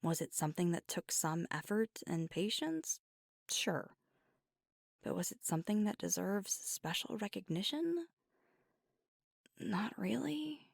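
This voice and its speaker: Woman playing fiery young werewolf girlfriend, husky and breathy, slow halting confidences, guarded yet tender, worn out, intimate ASMR roleplay. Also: medium pitch, very animated, dry recording, clean studio sound, exaggerated voice. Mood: self-deprecating, deflecting.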